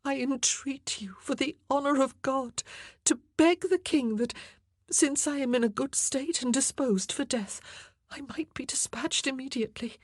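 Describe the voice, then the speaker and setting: A fragile, faithful young queen facing death, her voice pitched high and breaking into trembling whispers, as a woman reader puts her on. The tone is husky. The recording is close and dry. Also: measured pace, dry recording. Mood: pleading. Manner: trembling, breathy, often whispered pleading.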